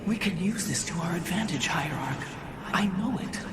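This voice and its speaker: A woman's voice: lowish voice, lively intonation, normal speed, lightly acted. An actress playing a devout, solemn female alien elder in a sci-fi game, formal and fervent. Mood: fervent, pleading. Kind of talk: dramatic sci-fi game acting. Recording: distant, very noisy ambient game audio.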